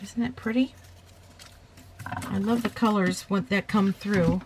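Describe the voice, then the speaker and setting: A woman with a slight hoarseness from a cold, chatting her way through a paper craft tutorial at her table and correcting herself as she goes. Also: medium pitch, fairly even intonation, slow, darkish tone, a little hiss or hum. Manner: chatty, talks while working, self-correcting.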